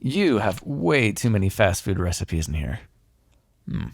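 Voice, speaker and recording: Male vampire, played by a man for ASMR roleplay: sardonic, secretly fond, very animated pitch, creaky low edge. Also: measured pace, exaggerated voice. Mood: smug, amused. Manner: sardonic, conversational, teasing, with sighs and swearing. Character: smug, teasing male vampire, wry and grudgingly fond. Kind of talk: intimate ASMR roleplay monologue.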